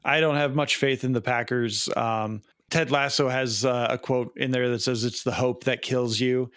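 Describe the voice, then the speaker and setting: Guy cohosting a chatty podcast, kinda wry and jokey, normal pitch, really dry sound. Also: steady tone, normal speed, fairly bright tone, clean tone, dry recording. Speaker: podcast co-host. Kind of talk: casual movie podcast chat.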